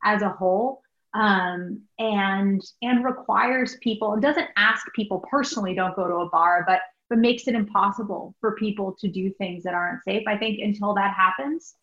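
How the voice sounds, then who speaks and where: A middle-aged American woman, a guest doctor answering interview questions in a thoughtful, halting, conversational way. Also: mid-range voice, a bit bright. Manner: thoughtful, halting, peppered with like and you know. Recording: roomy, dull lo-fi remote recording.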